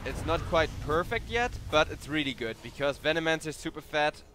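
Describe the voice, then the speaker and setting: A man's voice: a little high, animated, brisk, bright, forceful tone. Tired young German man casting a game. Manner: chatty, tired, answers chat between plays. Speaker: esports caster. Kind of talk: solo live game commentary.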